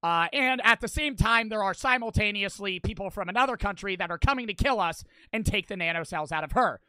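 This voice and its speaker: Loud, bright young male streamer. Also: high-pitched, fairly quick, clear, resonant tone, no room sound. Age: 20s. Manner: loud, excitable, swears and shouts at the game. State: voice cracking, losing his voice. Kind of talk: livestream gaming commentary. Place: small bedroom streaming setup. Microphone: headset mic on boom arm.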